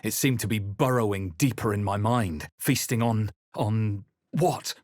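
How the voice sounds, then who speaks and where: A man voicing a wry, brooding adventurer in a fantasy video game, with a measured, dry, dramatic delivery. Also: lowish voice, a little mellow, no room sound, close to an everyday voice. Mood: brooding, hushed. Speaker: player character voice in a fantasy role-playing video game. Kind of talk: video game voice lines, exploration remarks, spell chants and inward narration.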